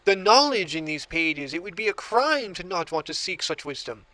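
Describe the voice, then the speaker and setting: An actor playing an obsessive book collector who grows entranced, in a horror audio drama. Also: male voice, sits high, big pitch swings, normal speed, bright, forceful tone, no echo, exaggerated voice, low-quality recording. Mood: intrigued, obsessive. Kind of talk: audio drama monologue and dialogue.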